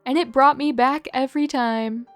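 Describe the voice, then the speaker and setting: A woman hosting a video who reads out short fan confessions one after another in a brisk, matter-of-fact way. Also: sits high, fairly even intonation, dry recording, faint music behind. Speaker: host reading viewer submissions. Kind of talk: reading aloud a list of fan confessions.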